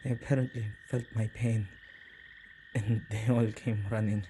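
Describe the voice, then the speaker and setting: A man playing an earnest, tender male witch who protects ghosts, speaking softly and intimately in an ASMR roleplay. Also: on the low side, little pitch movement, moderate pace, background noise, close to an everyday voice. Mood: tender, calm. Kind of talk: ASMR boyfriend roleplay acting.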